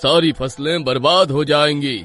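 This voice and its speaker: An actor playing an exasperated, grudging village landlord in a horror story, with a grumbling, complaining manner. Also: male voice, a little high, fairly even intonation, normal speed, fairly bright tone, faint music behind, natural voice. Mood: worried.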